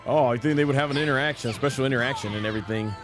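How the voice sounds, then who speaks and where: A man's voice: mid-range voice, very fast. A man streaming a video game, giving casual, rambling, enthusiastic fan commentary as he plays. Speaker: gaming streamer. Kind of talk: casual live gameplay commentary. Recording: game music behind the voice. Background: video game music.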